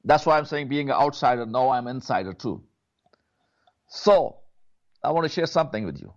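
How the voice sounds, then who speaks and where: A man's voice: medium pitch, lively intonation, normal speed. A man from Pakistan, a pastor, making an earnest prayer appeal, often repeating words and saying "you know". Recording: dull lo-fi audio.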